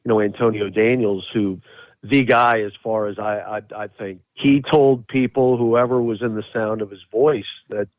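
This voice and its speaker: A man's voice: lowish voice, fairly even intonation, normal speed, no echo. A man giving casual, emphatic sports analysis as a play-by-play announcer guesting on a podcast, repeating phrases for stress. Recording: thin, narrow phone-like line.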